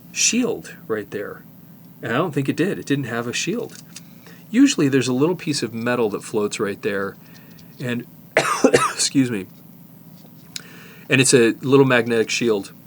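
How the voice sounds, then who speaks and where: A man's voice: on the low side, a little hiss or hum. A middle-aged man showing a cassette repair in his workshop, thinking aloud as he works, with restarts and asides. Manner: relaxed, thinking aloud with restarts while working. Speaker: tape repair technician. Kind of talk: hands-on repair demonstration.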